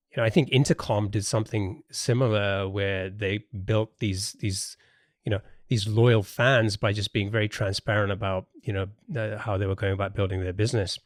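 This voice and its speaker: A man's voice: on the low side, dry recording, studio quality. A man hosting a casual interview podcast, thinking aloud with hesitations and repeated words.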